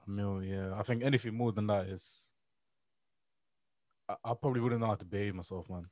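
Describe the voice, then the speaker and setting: A man's voice: lowish voice, fairly even intonation, fairly quick, a little mellow, no room sound. Slangy young London man, dry sound. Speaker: podcast co-host. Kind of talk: casual chat. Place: indoors, remote video call.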